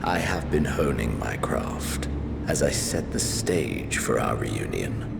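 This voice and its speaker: An actor playing a proud, battle-hungry villain in a video game, brooding and menacing. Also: male voice, rumbling low, animated, slow pace, creaky, heavy background noise, exaggerated voice. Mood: intense, coaxing. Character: proud male villain obsessed with the thrill of battle. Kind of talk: dramatic video game cutscene acting. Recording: loud music behind the voice.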